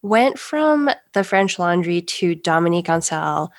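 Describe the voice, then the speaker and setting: Lady chef being interviewed, earnest, laughs a little, lowish voice. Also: big pitch swings, measured pace, dry recording, clean studio sound. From Los Angeles area, US. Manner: earnest, laughs softly between thoughts. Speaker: pastry chef guest. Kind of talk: reflective interview talk.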